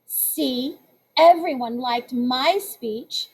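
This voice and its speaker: A woman's voice: sits high, extremely slow, darkish tone, breathy edge, full character voice, low-quality recording. A woman reading aloud, voicing a prissy know-it-all schoolgirl who lectures on manners in a preachy, sing-song way. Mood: smug.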